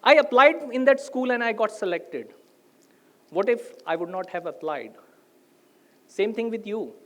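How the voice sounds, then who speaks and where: A man's voice: high-pitched, animated, unhurried. A middle-aged man from India, a native Hindi speaker with an Indian English accent, giving a live motivational talk and putting rhetorical questions to the audience.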